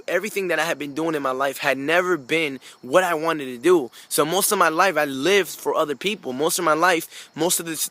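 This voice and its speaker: A man's voice: on the high side, bright, forceful tone. A man from Peru, a native Spanish speaker, giving an earnest motivational talk to camera, repeating phrases and addressing listeners directly. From Peru, moved abroad at age five.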